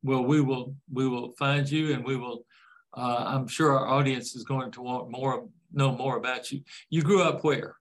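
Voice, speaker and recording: An older man hosts a casual interview in a folksy, rambling way. His voice sits at an ordinary middle pitch, and he wanders through his questions with easy asides. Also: steady tone, normal speed, rough recording. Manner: folksy, rambling, jokes about parenting. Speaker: interview host.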